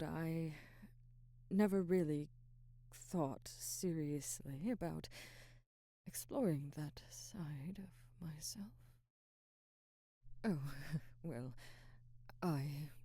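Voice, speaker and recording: A woman playing a middle-aged Hollywood actress, wry, flustered and secretly smitten, in an intimate ASMR roleplay with soft, hesitant murmurs that slip toward whispers. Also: low voice, no room sound, clean studio sound, exaggerated voice. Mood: embarrassed, yearning.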